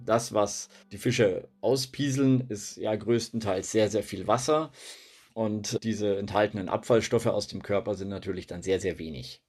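Husky-voiced man explaining. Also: fairly low pitch, normal speed, a little mellow. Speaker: biologist and fish expert. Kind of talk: explaining to children in an interview.

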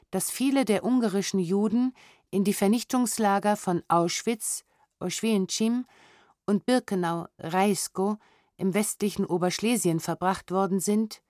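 Woman, medium pitch, formal documentary reading, sober, careful diction, dry studio. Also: dark tone, no room sound. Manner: formal, sober, precise enunciation. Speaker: reader of historical documents. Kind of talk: scripted documentary audio narration, read aloud.

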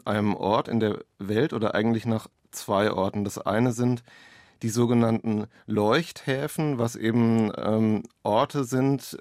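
A man's voice: medium pitch, a little mellow, dry recording, studio quality. A man speaking as an author in an interview, picking his phrasing hesitantly as he explains his work. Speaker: author and playwright. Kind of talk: interview conversation about own work.

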